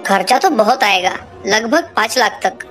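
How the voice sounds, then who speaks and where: A woman's voice: medium pitch, expressive, normal speed, a bit bright, natural voice. A woman voicing a grave, matter-of-fact male doctor in a cartoon moral story. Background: music.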